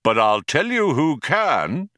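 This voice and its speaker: A man plays a vast, patient supercomputer in an audiobook. The delivery is slow and grand, mild but pompously declamatory, with a bright, close, dry sound. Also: fairly high pitch, very animated, no room sound, voice pushed up, full character voice. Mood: pondering, firm.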